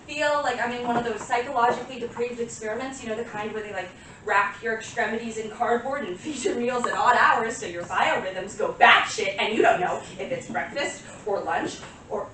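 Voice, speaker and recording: An actress playing a neurotic, highly strung woman composer in a rambling, halting naturalistic stage monologue. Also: close to an everyday voice. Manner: rambling, exasperated, halting self-interruptions. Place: small live stage venue with audience. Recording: very echoey room, distant mic, faint noise.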